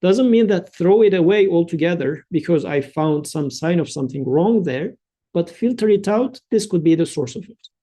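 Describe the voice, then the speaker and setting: High-voiced man explaining a text. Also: lively intonation, normal speed, dark tone. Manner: explanatory, conversational, frequent "so" and "like". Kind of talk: explaining a text in a study circle.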